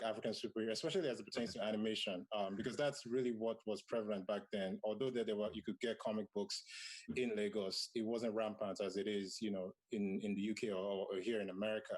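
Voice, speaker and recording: A man in his thirties who grew up in Nigeria, speaking on a virtual panel. His voice sits on the low side, and he talks in a reflective way with plenty of "um"s and restarts. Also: poor audio. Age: 30s. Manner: thoughtful, with many fillers and restarts. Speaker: panelist, comic creator.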